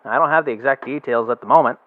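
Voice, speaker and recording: A man's voice: medium pitch, rapid-fire, dry recording, close to an everyday voice. A man playing a chatty small-town radio DJ. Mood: excited. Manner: casual, folksy, upbeat chatter. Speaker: radio announcer. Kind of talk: amateur radio drama acting. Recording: tinny phone-like radio sound, very dry.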